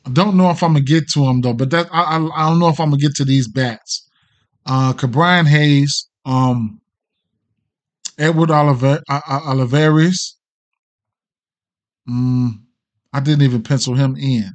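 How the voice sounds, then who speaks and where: A man's voice: mid-range voice, measured pace, pauses often. A middle-aged American man hosting a casual betting livestream, rambling off the cuff with plenty of slang and swearing. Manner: slangy, profane, hesitant and rambling. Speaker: sports betting show host.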